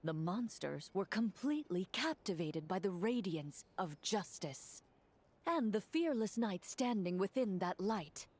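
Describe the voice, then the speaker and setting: A woman voicing a composed, analytical game master, narrating a fantasy battle in a measured, precise, formal way. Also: mid-range voice, big pitch swings, darkish tone. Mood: storytelling. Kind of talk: scripted narration in a video game.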